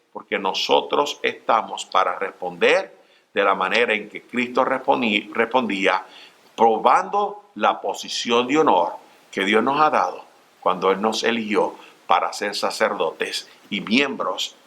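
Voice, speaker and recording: A middle-aged man preaching a church sermon, earnest and direct, calling his listeners brothers and pausing on fillers. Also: fairly high pitch, bright, forceful tone. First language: Spanish. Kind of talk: Spanish-language church sermon. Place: church sanctuary.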